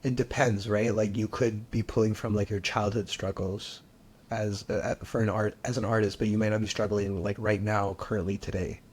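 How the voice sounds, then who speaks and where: A man's voice: fairly low pitch, level tone, normal speed, darkish tone, husky. A young man in casual podcast chat, loose and opinionated, with many fillers.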